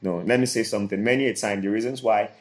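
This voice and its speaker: A man with a fairly low voice talks on a podcast about leading yourself. He is earnest and repeats himself, often stopping and restarting a phrase. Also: fairly even intonation, fast. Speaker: co-host. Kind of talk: motivational podcast talk.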